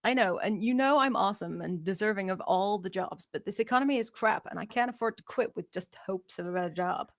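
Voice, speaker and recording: A woman's voice: mid-range voice, fairly quick, hardly any pauses, no echo, close to an everyday voice. A woman playing a sardonic, self-assured young office worker at a screenplay table read, with a wry, dry manner.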